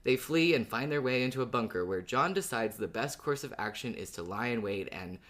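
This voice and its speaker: A man's voice: medium pitch, expressive, fairly quick, a bit bright. A man co-hosting a podcast, chatting and recapping in a casual style. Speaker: podcast host.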